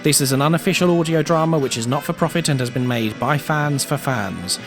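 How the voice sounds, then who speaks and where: A man's voice: a little high, fairly quick. A man announcing the credits of an audio drama in a formal, orderly scripted read. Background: music.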